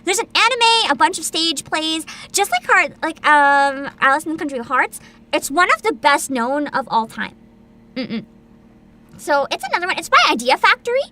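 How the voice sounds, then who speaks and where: Woman, streamer, casual chat, very lively pitch, high voice, giggly, rambling. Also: moderate pace. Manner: excitable, giggly, rambling with frequent laughter; reads a plot description aloud with asides. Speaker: VTuber streamer.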